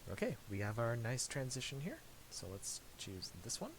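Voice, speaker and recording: A man narrating a casual screen-recorded software tutorial, halting and thinking aloud as he goes. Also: medium pitch, wildly swooping pitch. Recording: hissy home recording with clicks.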